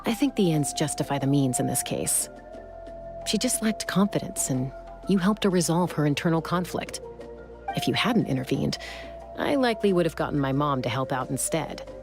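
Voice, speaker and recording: A woman's voice: deep voice, lively intonation, moderate pace. A woman playing a cool, composed young warrior in a video game, speaking in a laid-back, assured way. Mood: reassuring. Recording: music behind the voice.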